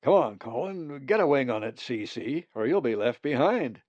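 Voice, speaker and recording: A man's voice: mid-range voice, lively intonation, normal speed, a little mellow, no room sound, highs cut off, voice pushed up, lightly acted. A man voicing a blunt, sly, hungry male raven in a storybook, with emphatic, folksy talk. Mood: hearty, urging.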